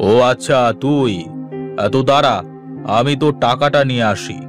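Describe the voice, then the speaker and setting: A man's voice: mid-range voice, steady tone, moderate pace, close to an everyday voice. Guy doing cartoon village landlord, stingy n grudging, music behind. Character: grudging village landlord, tight with his money. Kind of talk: Bengali moral cartoon voice acting.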